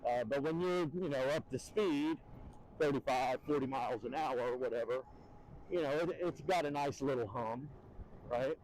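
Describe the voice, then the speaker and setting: A man's voice: moderate pace, a little mellow. A man, rambling through a casual e-bike review with many fillers while riding outdoors. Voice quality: very deep voice. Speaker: e-bike vlogger. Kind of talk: casual ride-along review. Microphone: helmet mic. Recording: wind-muffled helmet mic.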